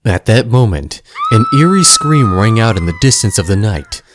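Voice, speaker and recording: A man's voice: lowish voice, big pitch swings, normal speed, background noise. A man narrating a scripted audio drama in a dramatic storytelling manner.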